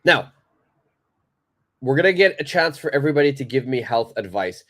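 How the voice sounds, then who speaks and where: A man teaching English in a live online lesson, who speaks slowly and deliberately, repeats key words for his learners, and has a stuffed-up nose. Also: mid-range voice, lively intonation, fairly bright tone.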